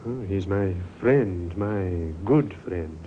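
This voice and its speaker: A man playing a courteous, earnest young Edwardian clergyman in a 1950s radio drama, polite and expressive. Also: sits low, takes it slowly. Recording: muffled, hissy old radio recording.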